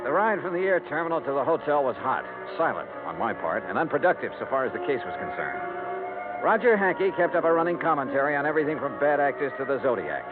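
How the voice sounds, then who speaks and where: Through a tinny vintage radio broadcast with music behind comes an actor as a hardboiled American male investigator. His pitch is a bit high and moves in lively swings. He is clipped, dry and wry, in classic 1950s radio style. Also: fast, natural voice. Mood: dry narration. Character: brisk hardboiled male insurance investigator, dryly unimpressed. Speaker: lead actor, narrator. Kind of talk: 1950s radio detective drama acting and narration.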